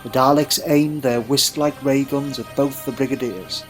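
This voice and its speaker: Male narrator, mid-pitched, dramatic storybook read for sci-fi audio, music underneath. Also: steady tone, normal speed, poor audio.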